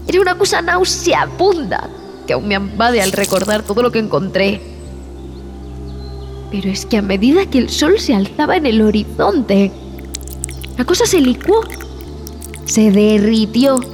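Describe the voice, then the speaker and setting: An actress playing a frightened, repulsed expedition zoologist, narrating a horror audio drama in a deliberate, theatrical way with dramatic pauses. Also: female voice, fairly high pitch, big pitch swings. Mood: haunted, disgusted. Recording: long reverb, music behind.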